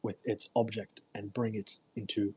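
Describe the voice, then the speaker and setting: Husky-voiced man reading aloud. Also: fairly low pitch, level tone, moderate pace, dark tone. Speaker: host reading a self-help book aloud. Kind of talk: read-aloud audiobook with informal commentary. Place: live online audio room broadcast.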